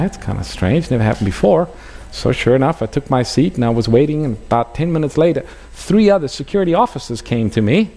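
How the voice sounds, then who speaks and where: A man's voice: mid-range voice, warm tone. A middle-aged man from South Africa, a pastor preaching a sermon and telling a personal story, asking the audience questions.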